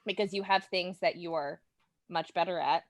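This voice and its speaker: A woman's voice: fairly low pitch, steady tone, normal speed, dry recording. Casual woman chatting, close dry sound. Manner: informal, imitates sound effects and reactions. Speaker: podcast guest, listener of the show. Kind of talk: casual podcast chat about tabletop gaming.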